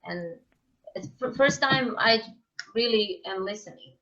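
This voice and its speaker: A Croatian woman in an interview, her English careful and unhurried, with a Croatian accent. She hunts for the right words, repeats herself and fills gaps with "like". The sound is dull and lo-fi. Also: medium pitch, sharp tone. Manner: searching for words, frequent "like" and restarts, laughs often. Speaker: guest, singer-songwriter. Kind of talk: casual interview conversation.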